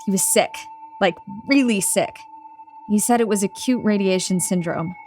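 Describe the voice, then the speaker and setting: A woman's voice: mid-range voice, moderate pace, darkish tone, faint music behind, lightly acted. A woman playing a shaken young tech engineer in an audio drama, conversational, earnest and emphatic. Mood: shaken, urgent. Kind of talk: audio drama table-read acting.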